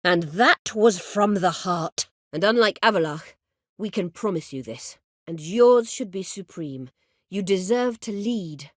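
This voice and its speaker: An actress playing an imperious, scheming middle-aged sorceress in a video game, speaking formally and with measured, biting sarcasm. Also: female voice, medium pitch, expressive, a little hiss or hum, lightly acted. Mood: measured, persuasive. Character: imperious, scheming sorceress, proud, sardonic and vengeful. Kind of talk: video game character dialogue.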